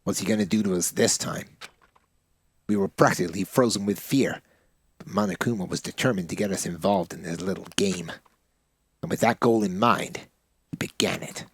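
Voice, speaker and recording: A man's voice: sits low, moderate pace, long pauses, slightly rough, no echo. A man hosting a gaming channel, reading out a visual novel and commenting as he plays. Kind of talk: let's play narration and commentary.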